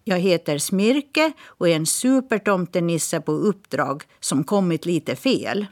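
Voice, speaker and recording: A woman voicing a small, eager male elf, in a slightly raised, lively voice. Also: on the low side, no room sound, clean studio sound, natural voice.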